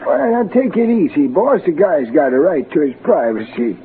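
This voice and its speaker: A man's voice: fairly high pitch, very animated, moderate pace. An actor in 1940s radio style playing a shifty, streetwise old vagrant man who wheedles and rambles in slang. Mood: shifty, chatty. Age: older. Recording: muffled, noisy 1940s radio recording.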